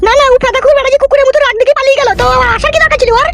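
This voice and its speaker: A woman playing a shrill, foul-mouthed, quarrelsome mother-in-law in a comedy cartoon, scolding and arguing. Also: steady tone, very fast, over loud music. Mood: angry scolding. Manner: scolding, cursing, argumentative.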